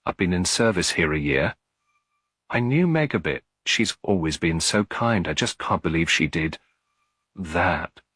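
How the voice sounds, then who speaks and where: A man's voice: low-pitched, lively intonation, a little slow, a bit bright, no room sound, studio quality, heavily acted. Man voicing a timid, tremulous young English-story housemaid. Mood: anxious, earnest. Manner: hesitant, deferential. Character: timid, anxious young housemaid, earnest and loyal.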